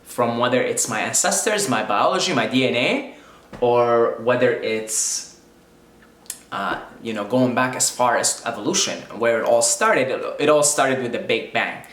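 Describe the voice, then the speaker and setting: A man's voice: medium pitch, measured pace, a bit bright. Musing man in an echoey room. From Syria. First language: Arabic. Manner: musing, repeats phrases, thinks aloud. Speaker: video creator speaking on spirituality. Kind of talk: reflective spiritual monologue.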